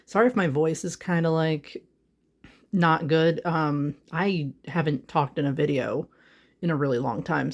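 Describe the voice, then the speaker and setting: Young adult vlogger, home office, handheld phone. Loose, rambling and self-conscious, heavy on fillers, with a gravelly fry. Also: mid-range voice. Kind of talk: casual chat. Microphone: phone held in hand.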